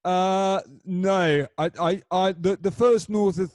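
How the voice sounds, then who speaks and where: A young man with a fairly high voice, recorded close and dry with no room sound. He jokes at his own expense and trips over his words in a casual, rambling way. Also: fairly even intonation, slow pace, darkish tone, dry recording. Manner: hesitant, jokey, self-mocking. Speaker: host. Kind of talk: casual podcast chat.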